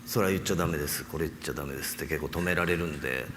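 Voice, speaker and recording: A man's voice: extremely low pitch, rapid-fire, a bit bright. A man speaking casually on a panel, rambling with many fillers. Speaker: panel guest.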